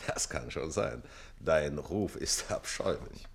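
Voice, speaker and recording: A man playing a haughty, jealous nobleman in a theatrical radio play, speaking imperiously and sometimes dropping into slow muttering. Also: lively intonation, creaky, breathy edge, exaggerated voice. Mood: sly, commanding. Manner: imperious, swinging from booming questions to slow muttering. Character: haughty, jealous, lustful nobleman count and master.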